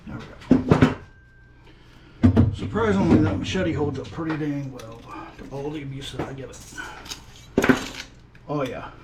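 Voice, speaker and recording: A man's voice: fairly high pitch, animated, measured pace, pauses often, very noisy. A man giving casual, folksy hands-on commentary, half to himself, as he works as a hobbyist host. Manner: muttering to himself while working, folksy mild exclamations. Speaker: hobbyist host of a scrapping channel. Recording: loud clanking and clattering of metal tools. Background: metal clatter and clicks of dismantling work.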